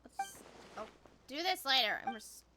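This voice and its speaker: A woman's voice: high-pitched, wildly swooping pitch, a little slow, very noisy. A woman chatting casually as she plays a video game on a livestream. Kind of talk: casual livestream chat while playing. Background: game music.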